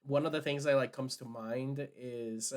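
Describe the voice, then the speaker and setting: A man's voice: medium pitch, fairly even intonation, moderate pace, a little mellow. A man from Puerto Rico co-hosting a podcast in casual, slangy chat, swearing freely and acting out dialogue. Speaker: podcast co-host.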